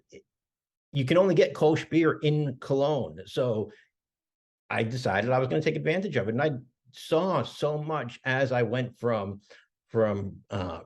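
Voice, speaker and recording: A man's voice: fairly low pitch, a little mellow. A man hosting a travel show, talking casually about his river cruise, with many ums and restarts. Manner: chatty with frequent fillers and restarts. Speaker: travel host. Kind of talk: casual travel vlog narration.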